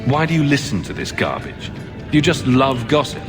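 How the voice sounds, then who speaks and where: An actor playing a sardonic adventurer hero in a video game, with a dry, questioning delivery. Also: male voice, medium pitch, sing-song, normal speed, close to an everyday voice. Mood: dry, skeptical. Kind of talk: video game dialogue acting. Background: game music.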